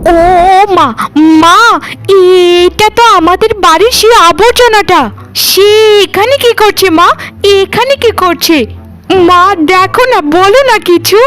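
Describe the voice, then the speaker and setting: A woman's voice: extremely high pitch, measured pace, fairly bright tone, faint music behind. A woman voicing a vain, spiteful young village daughter in a cartoon, with an exaggerated manner. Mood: shocked. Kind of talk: Bengali cartoon voice acting.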